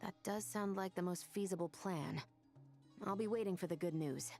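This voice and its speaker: A stern patrol captain speaks in a low, steady voice, firm and matter-of-fact, played by an actress. Game music runs behind her. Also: normal speed, lightly acted.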